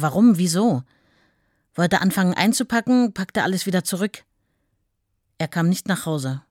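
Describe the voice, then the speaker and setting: An actress playing a writer's steady, long-suffering wife, telling of her marriage plainly and reflectively in a radio play. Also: lowish voice, no room sound, clean studio sound, natural voice.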